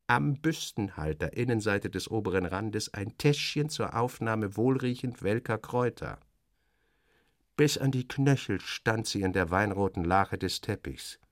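A man narrates literary fiction in the first person with a low voice. His delivery is dramatic and wry, like someone voicing his own thoughts. The sound is very dry. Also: animated, unhurried, no room sound.

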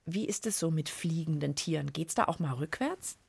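A woman's voice: deep voice, normal speed, vocal fry, slightly rough, dry recording. A woman hosting a children's podcast in a playful, conversational presenting style. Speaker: host of a children's science podcast.

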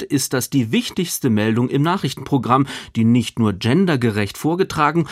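Male critic, scripted radio review, animated intonation, medium pitch, wry articulate delivery, dry studio sound. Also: dry recording. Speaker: literary critic.

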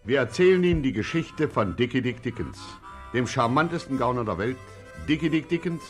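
An actor announcing a gangster serial in a 1950s radio play. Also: male voice, medium pitch, lively intonation, a little fast, soft background music, close to an everyday voice. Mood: showy.

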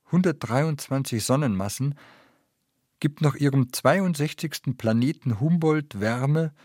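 A man reading literary scripted narration in a measured, factual manner. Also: medium pitch, a little mellow, dry recording, clean studio sound.

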